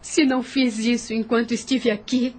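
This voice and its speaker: Woman acting a high-voiced, troubled spirit woman. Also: little pitch movement, normal speed, a little mellow, lightly acted. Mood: sorrowful. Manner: earnest, formal, dramatic. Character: troubled young mother's spirit, earnest and sorrowful. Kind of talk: audio novel acting.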